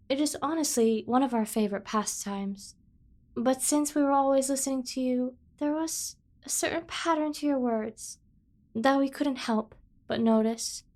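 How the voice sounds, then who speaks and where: Casting: a woman to play a playful yandere kidnapper for ASMR roleplay, higher-voiced, unhurried, doting and teasing. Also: a little mellow, close to an everyday voice. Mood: confiding. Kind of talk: scripted ASMR roleplay acting.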